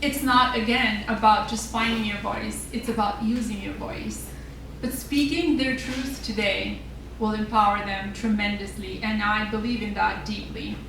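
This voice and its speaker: A woman hosting a student speaking event, introducing speakers in a measured, emphatic and formal way. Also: medium pitch, steady tone, gravelly, fairly bright tone. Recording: very echoey hall, distant, noisy.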